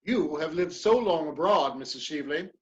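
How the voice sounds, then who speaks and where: A man playing a proud, cornered English gentleman politician in a dramatic reading of a period play, formal and stiff. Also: a little high, animated, normal speed, close to an everyday voice. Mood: indignant. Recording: dull, lo-fi microphone sound.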